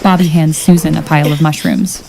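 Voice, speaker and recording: A woman's voice: deep voice, steady tone, brisk, creaky, background noise. A woman reading stage directions as scripted narration for an audio drama.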